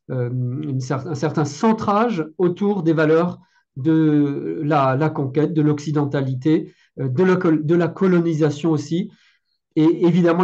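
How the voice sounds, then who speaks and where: A man's voice: a little high, warm tone. A middle-aged man hosting an interview over a video call, often restarting as he searches for words. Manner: hesitant, many restarts while searching for words. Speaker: host. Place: room at home. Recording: dull video call audio.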